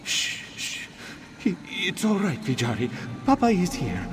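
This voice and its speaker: A man's voice: huge pitch swings, unhurried, full character voice. A man voicing a devoted, anguished cat-man father in a video game, pleading and tender. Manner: pleading, tender, speaks of himself in third person. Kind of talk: dramatic video game dialogue. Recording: noisy ambient rumble behind voice.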